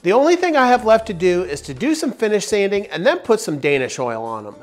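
Upbeat guy in his 40s walking through a woodworking build in his shop, lively up-and-down voice, faint music under it. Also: high voice, brisk. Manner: upbeat, step-by-step explaining. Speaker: woodworking channel host. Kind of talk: workshop tutorial narration. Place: large wooden workshop, indoors.

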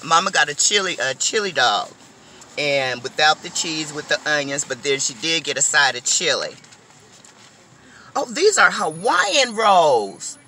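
Bright-voiced chatty woman vlogging in a car. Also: sits low, a little slow, slight background noise. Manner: chatty, animated, addresses viewers as y'all. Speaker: food vlogger. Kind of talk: casual eating vlog. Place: inside a car.

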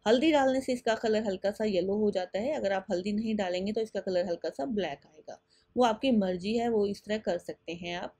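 A woman's voice: medium pitch, fairly quick, dark tone, dry recording. A woman giving a patient step-by-step voice-over for a home cooking video, speaking directly to her viewers. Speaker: cooking channel host.

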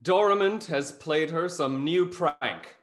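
A man's voice: on the high side, slow pace. A man playing a snarky, self-important society gossip, arch and pompous, in a Restoration comedy reading. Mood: arch, gossipy. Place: small indoor room on a video call. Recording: echoey room over video call.

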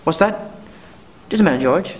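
A man's voice: a little high, lively intonation, moderate pace, close to an everyday voice. A man playing a cool, controlled crime boss giving instructions in a 1940s-style radio play. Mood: cool, conspiratorial.

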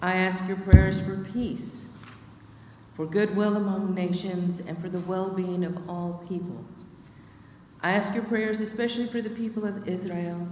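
A woman's voice: medium pitch, a little hiss or hum. Woman reading prayers in a roomy church. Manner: steady measured reading of names. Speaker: lay reader leading prayers. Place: church sanctuary at a lectern. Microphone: lectern microphone. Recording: echoey church from far off.

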